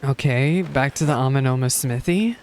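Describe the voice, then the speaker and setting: A man's voice: medium pitch, normal speed, darkish tone, slight background noise. A man streaming a game, reacting with casual running commentary. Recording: game music underneath.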